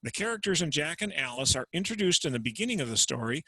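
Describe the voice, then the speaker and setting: Casting: male narrator, bright and tense, dry close sound. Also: a little high, big pitch swings, quick pace, no room sound, rough recording. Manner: clear, explanatory. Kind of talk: dramatic reading narration. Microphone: video call.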